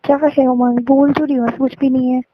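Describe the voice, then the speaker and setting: A woman's voice: high voice, level tone, a little fast, no echo. A young woman arguing on a phone call, defensive and insistent as she denies things. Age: young adult. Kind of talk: relationship argument in casual talk. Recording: dull lo-fi call recording.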